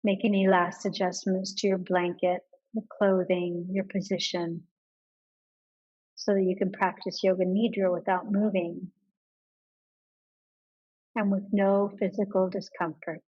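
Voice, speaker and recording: A woman guides a meditation with a medium-pitched voice. She gives calm, measured instructions and leaves pauses between them. The recording is a little dull and lo-fi. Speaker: yoga nidra meditation guide.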